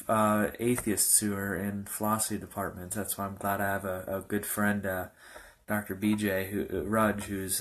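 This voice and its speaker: A man in his early twenties, a pastor, telling his personal testimony in a halting, earnest way with many fillers and restarts. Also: on the low side, fairly bright tone. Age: young adult. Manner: earnest, informal.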